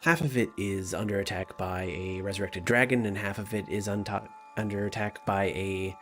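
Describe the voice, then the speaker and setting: A man's voice: on the low side, fairly even intonation, normal speed, a bit bright, faint music behind. A man voicing a tall, apologetic woman who leads a band of scouts, hesitant and rambling, in a tabletop game. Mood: earnest, explaining.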